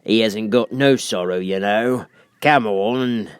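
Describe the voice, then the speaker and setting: A man's voice: fairly low pitch, slow pace, clean tone, no room sound. A man voicing an amused, lazy storybook griffin with drawled, folksy, ungrammatical speech. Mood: offhand. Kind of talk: storybook character voice.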